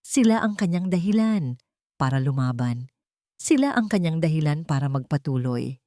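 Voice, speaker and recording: A woman with a low voice narrates a dramatic story. Her pitch moves expressively through short, deliberate phrases, earnest and sentimental. The recording is dry and close, with no room sound. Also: big pitch swings, warm tone, no room sound.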